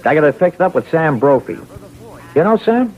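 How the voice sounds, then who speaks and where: A man's voice: a little high, big pitch swings, normal speed, darkish tone. An actor playing a bossy, streetwise male counterfeiter with impatient, colloquial delivery in a seventies radio drama. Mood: bossy, cocky. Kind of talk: 1970s radio drama acting. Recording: tinny vintage radio broadcast.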